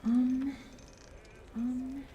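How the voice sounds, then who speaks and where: A woman plays a poised vampire noblewoman in an ASMR roleplay. Her phrasing is hushed, deliberate and very drawn out. Layered echo and reverb wrap her words, with noise behind them. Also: sits high, flat delivery, highs cut off. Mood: slow, measured. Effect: layered echo, reverb and reversed audio. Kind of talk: ASMR roleplay performance, slow whispered and layered speech. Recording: layered echoing ASMR audio, background noise, music.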